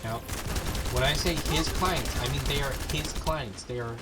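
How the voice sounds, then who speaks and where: A bilingual man, fluent in Spanish and English, tells anecdotes in a casual, sweary way. Loud video game music and gunfire play behind his voice. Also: mid-range voice, normal speed. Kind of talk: casual storytelling chat.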